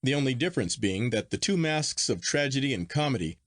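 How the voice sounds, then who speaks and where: A man reading a literary story aloud as an audiobook narrator, in a measured, articulate and expressive storytelling manner. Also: mid-range voice, no echo, clean studio sound. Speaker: audiobook reader.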